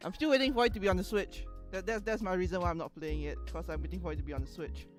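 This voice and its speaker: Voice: mid-range voice, rapid-fire. A person bantering casually on a livestream, teasing and giggly, often repeating words. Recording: soft music playing behind the voice. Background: soft lofi music.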